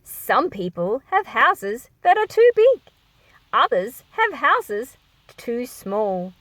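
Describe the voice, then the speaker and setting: A woman's voice: fairly high pitch, big pitch swings, slow pace, fairly bright tone, no room sound, far from the mic, heavily acted. A woman reading the part of a busy, practical mother in a picture book, explaining expressively to her child. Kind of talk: picture book read aloud.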